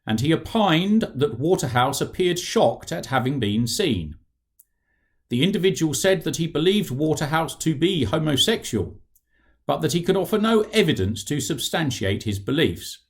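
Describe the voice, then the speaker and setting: A middle-aged man with a British accent, an author reading aloud from his non-fiction book in an articulate, deliberate and formal way. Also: mid-range voice.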